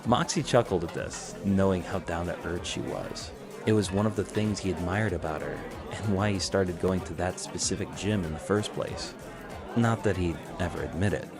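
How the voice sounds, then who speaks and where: A man's voice: low voice, normal speed, music in the background. A man reading scripted fan fiction as the narrator, telling the story in prose.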